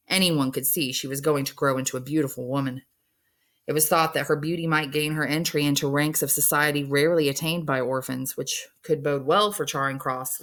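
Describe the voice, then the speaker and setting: A woman's voice: sits low, fairly bright tone. A woman narrating a novel aloud as an English teacher would, reading scripted storybook prose.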